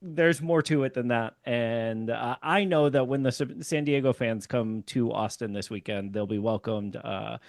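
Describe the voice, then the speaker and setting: Man, podcast host, relaxed, chatty, dry room. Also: mid-range voice, moderate pace, creaky, hardly any pauses, no room sound. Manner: relaxed, chatty, many fillers like "like". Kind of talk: casual podcast chat.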